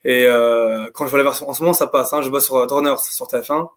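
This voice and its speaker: Male animation worker, quick, eager, casual. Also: medium pitch, steady tone. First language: French. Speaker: animation professional. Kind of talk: casual panel chat.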